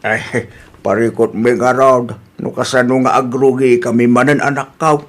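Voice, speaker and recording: A man's voice: mid-range voice, flat delivery, normal speed, close to an everyday voice. A man acts out an elderly Filipino farmer in a family audio drama. He is a humble, anxious father who speaks to his wife and son with worry. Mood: troubled.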